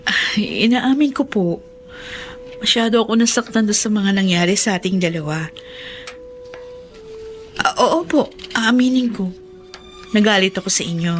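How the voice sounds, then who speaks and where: A woman's voice: medium pitch, normal speed, long pauses, lightly acted. Young Filipino wife and mother played by an actress, sincere and respectful radio drama delivery over music. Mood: earnest, hesitant. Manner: earnest, respectful. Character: forgiving young wife, mother and daughter-in-law.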